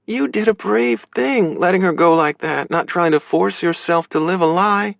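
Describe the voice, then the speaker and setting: A man's voice: high-pitched, moderate pace, gravelly, dry recording, voice pushed up. A man voicing a caring, frank adult big sister in an audiobook, speaking with earnest, reassuring emotion. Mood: tearful, gentle. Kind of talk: audiobook character dialogue.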